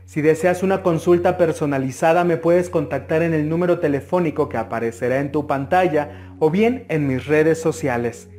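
A man with a Mexican Spanish accent reads tarot cards for his viewers in an earnest, advising way. His voice sits a little high for a man, and he often pauses with hesitations. He is recorded close to the mic at a table indoors. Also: a little mellow. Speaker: tarot reader. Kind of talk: interactive tarot reading to camera.

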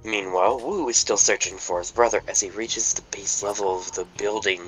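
A man's voice: on the low side, brisk, a bit bright, soft background music, poor audio. A man narrating the stage directions of a casual fan table read over voice chat. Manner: plain read-aloud narration, joking between lines.

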